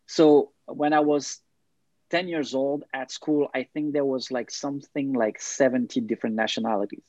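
A man's voice: mid-range voice, steady tone, moderate pace, a little mellow, no room sound, lo-fi sound. A man from France, a guest sharing personal history thoughtfully, hesitating with fillers and restarts. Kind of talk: casual personal storytelling in interview.

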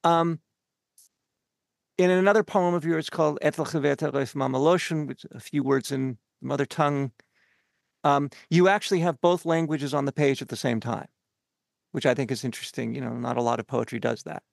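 A man from Canada hosting a podcast interview, asking long earnest questions with many halting fillers. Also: medium pitch, steady tone, gravelly, no echo, studio quality.

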